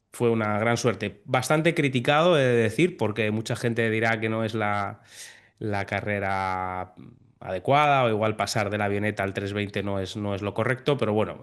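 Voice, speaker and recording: Low-voiced Spanish man in his thirties. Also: smooth. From Spain. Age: 30s. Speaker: airline pilot guest. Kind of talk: relaxed interview storytelling.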